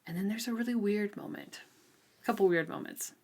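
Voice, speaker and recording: A woman's voice: mid-range voice, brisk, a little mellow. A woman co-hosting a book discussion, chatting casually and informally with plenty of hedging and filler likes. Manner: informal, hedging, often says like. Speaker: co-host of a book discussion show.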